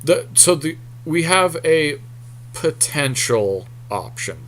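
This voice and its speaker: A man's voice: fairly high pitch, big pitch swings, slow, a bit bright, a little hiss or hum. A man co-hosting a gaming podcast, casually thinking out plays aloud with informal, salty banter. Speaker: podcast co-host playing an online card game. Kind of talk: casual game commentary, talking through plays.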